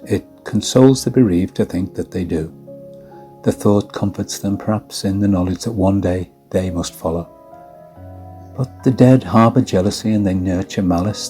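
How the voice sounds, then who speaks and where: A man reading his own ghost story in a measured, solemn way. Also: deep voice, warm tone. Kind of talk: scripted ghost story narration. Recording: guitar music behind the voice. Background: plucked guitar music.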